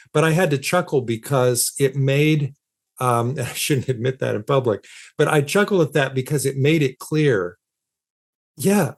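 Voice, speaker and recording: Man, emphatic conversational explainer. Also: medium pitch. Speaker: interview guest, philosopher of science and author.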